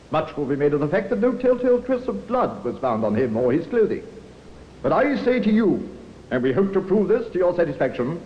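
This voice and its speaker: Stern male prosecutor, higher-pitched, emphatic courtroom oratory, old radio drama with hall reverb. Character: stern male Crown prosecutor, forceful and insistent. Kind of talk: 1950s radio drama acting. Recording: muffled old radio recording, hissy, long hall reverb.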